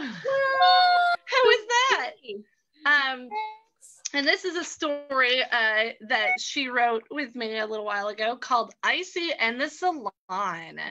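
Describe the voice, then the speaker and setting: A woman's voice: high-pitched, sharp tone. A woman hosting and narrating improvised children's stories in a theatrical, exclamatory way. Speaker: host and story narrator.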